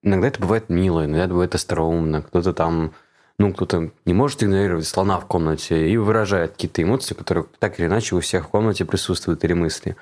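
A man's voice: deep voice, quick pace, a little mellow. A man chatting casually as a conversation partner who puts questions to the other speaker. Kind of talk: casual chat.

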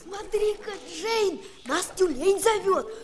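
A woman's voice: very high-pitched, lively intonation, takes it slowly, heavily acted. An actress playing a curious, naive, wide-eyed little boy in a children's audio play. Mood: curious, worried. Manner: questioning, naive, exclaiming.

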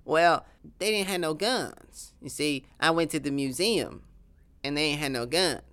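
A folksy, boastful Texan cowboy with a thick Southern drawl, put on by a man. The voice sits a little high and rings bright and clean. He talks in broken, stubborn grammar, full of swagger. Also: unhurried. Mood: indignant. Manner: drawling, ungrammatical, indignant bluster. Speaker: interview guest.

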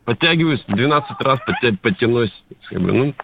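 A man's voice: medium pitch, lively intonation, a little fast, vocal fry, a little hiss or hum. A man in his thirties calling a radio show, talking hesitantly with many fillers and restarts. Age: 30s. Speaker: caller. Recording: tinny phone line.

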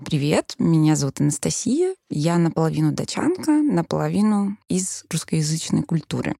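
A woman who grew up in Denmark and speaks Danish as her first language. She tells stories with lively energy as an interview guest. Her voice sits low and is recorded close, with no room sound. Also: normal speed, vocal fry, no room sound, faint music behind. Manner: lively storytelling with frequent laughter. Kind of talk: casual interview chat.

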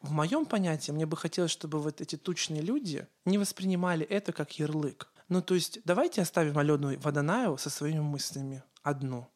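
A man's voice: on the high side, fairly quick, hardly any pauses. A man from Ukraine co-hosting a casual podcast, talking in an earnest, rambling way and often correcting himself mid-sentence. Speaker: podcast co-host.

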